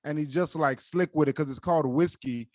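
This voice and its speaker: A man's voice: on the high side, a little fast, no room sound, clean studio sound. A young man whose first language is Yoruba, co-hosting a podcast in casual, earnest chat and often leaning on "like". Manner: earnest, leans on "like" as a filler. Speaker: podcast co-host.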